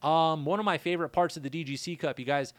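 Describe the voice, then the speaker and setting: Laid-back man with a raspy throat. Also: on the high side, steady tone, fast talker, no echo. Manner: laid-back, loose, chatty with shout-outs. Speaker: podcast host. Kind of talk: casual rambling monologue. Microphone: studio mic.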